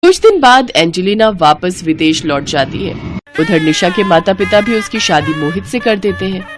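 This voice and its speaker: A woman's voice: on the low side, expressive, normal speed, over music. A woman narrating a scripted moral story as a storyteller.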